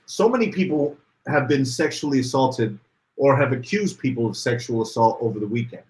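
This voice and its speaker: A man co-hosting a casual podcast livestream, reading out viewer comments and getting animated as he talks. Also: rough recording. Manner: animated, rises in pitch when worked up. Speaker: podcast co-host.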